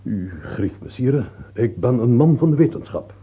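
An unsentimental court doctor in a radio play, deferential yet clinical and given to philosophizing. His husky voice moves through lively swings of pitch. The sound is boxed-in and phone-like. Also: normal speed. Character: rational, unsentimental royal physician, male, courteous to king. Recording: muffled narrow-band old radio recording.

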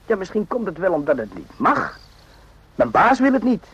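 An actor playing a naive, randy young soldier in a radio drama, rambling and jokey in an eager, confiding way. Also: male voice, high-pitched, big pitch swings, moderate pace, fairly bright tone, breathy edge, heavily acted. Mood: bashful, confiding. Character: chatty, naive, randy young army soldier, former circus hand. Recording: muffled lo-fi old radio recording.

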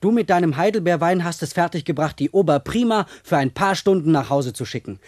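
An actor playing a small, proud schoolboy prankster in a German radio play, eager and boastful. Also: male voice, fairly high pitch, animated, a little fast, a little mellow, no room sound. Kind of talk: German radio drama acting.

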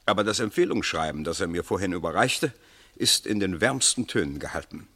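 A very low-voiced, formal government minister in a fifties German radio drama. He speaks with grave, measured urgency, like an official guarding a worrying secret. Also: animated, a bit bright, full character voice. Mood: grave, worried. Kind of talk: 1950s German radio play acting.

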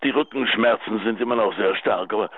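An actor playing a pleading, lovesick, self-pitying husband leaving a halting, intimate voicemail in a radio drama. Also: male voice, on the low side, slight background noise. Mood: pleading, longing. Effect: telephone voicemail filter. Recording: tinny narrow phone line.

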